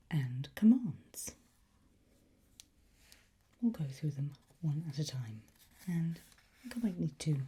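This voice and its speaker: A woman whispering breathily as a teacher in an ASMR roleplay, gentle, unhurried and encouraging. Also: sits low, slight background noise. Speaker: ASMR host playing a language teacher.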